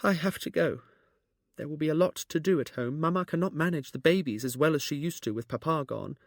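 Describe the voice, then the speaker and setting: A man's voice: fairly quick, gravelly, no room sound, studio quality. A man voicing a young woman who is grieving but trying to stay strong. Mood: resolute. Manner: high, trembling, pleading.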